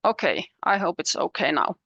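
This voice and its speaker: A woman's voice: on the low side, animated, vocal fry, bright, forceful tone, slightly rough, dry recording, lo-fi sound. Woman giving an academic talk. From Finland. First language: Finnish. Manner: measured and explanatory, with frequent pauses and restarts. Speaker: professor, panelist. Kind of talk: academic presentation. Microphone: video call.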